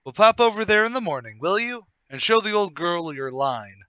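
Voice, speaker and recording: An actor playing a brisk, self-assured, well-to-do gentleman, emphatic and a little patronising, in a table read of a period murder mystery. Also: high voice, lively intonation, smooth, no echo. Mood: confident, commanding.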